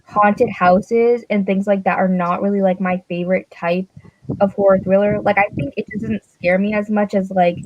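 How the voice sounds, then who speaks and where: A woman's voice: mid-range voice, fairly even intonation, normal speed, highs cut off, a little hiss or hum. A young woman, a book vlogger, chatting casually in a rambling, self-deprecating way with many fillers. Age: young adult. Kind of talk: casual chat.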